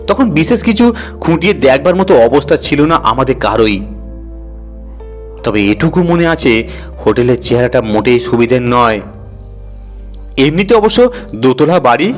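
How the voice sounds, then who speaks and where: A man's voice: mid-range voice, close to an everyday voice. A man voicing a boastful, good-natured uncle who tells his ghostly tale colloquially and teasingly in an audio story.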